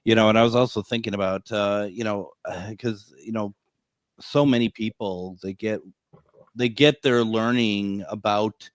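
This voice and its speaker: A man's voice: fairly low pitch, steady tone, a little slow, no echo. A man hosting a radio interview, chatty and often repeating words as he thinks aloud. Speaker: radio show host. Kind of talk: casual interview conversation.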